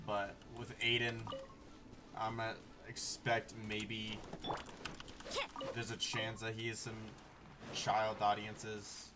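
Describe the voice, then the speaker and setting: A man's voice: lowish voice, monotone, slow pace, long pauses. A twenty-year-old man giving casual, rambling gameplay commentary as a streamer, blunt and free with swearing. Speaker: game streamer. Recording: noisy, distant mic with clicks. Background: game sounds, clicking.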